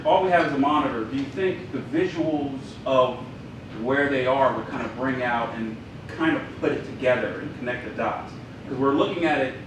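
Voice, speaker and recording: Man, probing questions, repeated restarts, background noise. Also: medium pitch. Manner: probing, repeats himself while searching for words. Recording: echoey room, mic far off, noisy.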